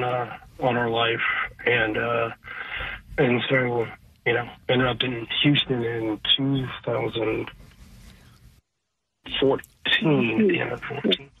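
A man in his forties from Cincinnati, a guest on a radio interview, talking casually with lots of pauses and fillers. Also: medium pitch, vocal fry. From Cincinnati, USA. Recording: phone line.

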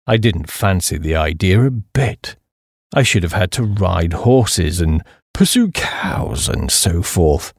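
A man voicing a hapless, dim young upper-class English gentleman who blusters and rambles in slang. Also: low voice, very animated, slow pace, gravelly, dry recording, studio quality, pitched below the performer's own voice, exaggerated voice. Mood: gloomy, rambling.

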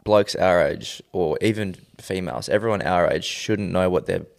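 Twenties man, low voice, relaxed slangy podcast guest. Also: moderate pace, gravelly. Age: 20s. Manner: laid-back, slangy, says "bro" often. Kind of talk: casual chat.

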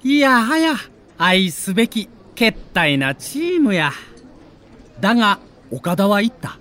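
A male storyteller narrates a scripted sports documentary with a Kansai accent. His voice sits high and swings widely in pitch, giving the telling a theatrical, dramatic shape. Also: very animated, unhurried, bright, forceful tone. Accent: Kansai Japanese.

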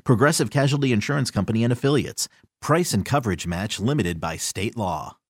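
A man's voice: mid-range voice, expressive, brisk, husky. A man announcer reading a scripted podcast advertisement. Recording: close, dry studio sound.

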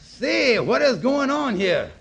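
A man's voice: very high voice, sing-song, takes it slowly, vocal fry, a bit bright, heavily acted. A man playing an easygoing, curious friend just back from a trip, in a 1940s radio comedy. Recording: noisy old radio broadcast, far off.

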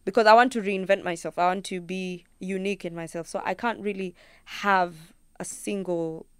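Young Kenyan woman, interview guest, lively storyteller; very clean voice, dead-dry studio. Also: medium pitch, moderate pace, smooth, dry recording. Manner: animated storyteller who acts out her own thoughts in quotes. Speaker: guest singer-songwriter. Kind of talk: casual interview chat.

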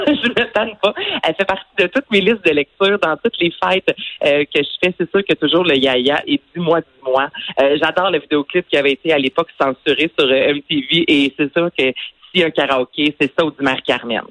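A woman with a Québécois accent giving a lively, chatty culture segment on the radio. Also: low voice, fairly quick, dry recording, rough recording. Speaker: culture columnist.

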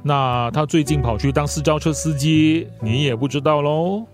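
A man playing a measured, authoritative older patriarch questioning people in a radio drama. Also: fairly high pitch, natural voice. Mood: stern, suspicious. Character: stern, probing elderly wealthy patriarch and employer. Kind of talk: Mandarin radio drama acting. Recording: plucked guitar music behind.

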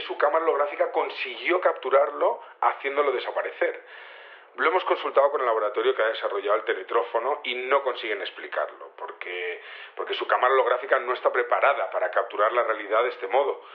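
An actor playing a cautious, matter-of-fact investigator, speaking in a measured conversational way during a radio interview in an audio drama. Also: male voice, mid-range voice. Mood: explanatory, concerned. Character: matter-of-fact male investigator giving a cautious official update. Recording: slightly dull, lo-fi call sound.

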